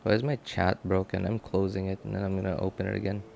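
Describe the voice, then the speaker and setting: Low-voiced man thinking aloud. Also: flat delivery, gravelly, a little mellow, slight background noise. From Philippines. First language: Cebuano. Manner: halting, thinking aloud with many fillers and restarts. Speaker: game streamer. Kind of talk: casual live-stream chat.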